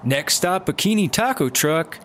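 An actor playing a hesitant, naive, easily swayed cop sidekick in a comedy audio drama. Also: male voice, on the high side, lively intonation, unhurried, a bit bright, a little hiss or hum. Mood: carefree.

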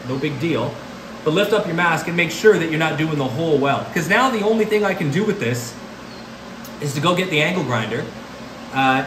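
A man in his thirties giving a casual welding lesson, explaining each step plainly. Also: fairly high pitch. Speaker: welding instructor. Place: welding workshop, indoors. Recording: echoey workshop with background noise.

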